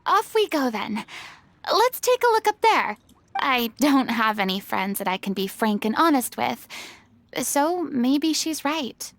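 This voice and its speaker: A woman's voice: high-pitched, sing-song, unhurried, dry recording, exaggerated voice. A woman voicing a melancholy, earnest young former archon in a video game, speaking with guarded, hesitant formality. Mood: vulnerable, earnest. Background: game music.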